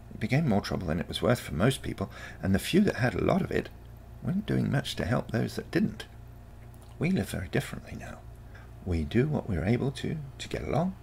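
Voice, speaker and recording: Man voicing a confident, gravelly-edged teenage granddaughter. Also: lowish voice, slight background noise, pitched above the performer's own voice, full character voice. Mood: earnest. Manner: matter-of-fact, unconcerned, explanatory. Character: calm, confident teenage granddaughter from a future world. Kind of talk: storybook character dialogue.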